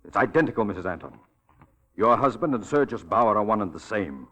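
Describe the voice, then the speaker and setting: A low-pitched, insistent detective in a 1940s radio drama. He speaks in a clipped, deliberate way, earnest and protective, as someone used to giving orders. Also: expressive, a bit bright. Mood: urgent, revelatory.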